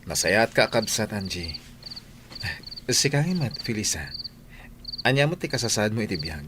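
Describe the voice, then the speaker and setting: A man's voice: fairly low pitch, sing-song, moderate pace, background noise. A man playing a friendly, curious and protective employer in an audio drama. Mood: sympathetic, concerned. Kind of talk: audio drama acting in dialogue.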